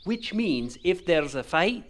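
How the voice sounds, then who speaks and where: A man's voice: high-pitched, sing-song, a bit bright, exaggerated voice. Stern, formal older warrior general played by a man. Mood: grave, patient. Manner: formal, measured, commanding. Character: stern, duty-bound veteran warrior general, male, older. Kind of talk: fantasy audio drama acting.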